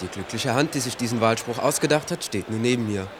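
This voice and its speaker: An actor playing a courteous radio host in a mock live broadcast, formal and announcer-like. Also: male voice, mid-range voice, fast talker, background noise, natural voice. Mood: formal, welcoming.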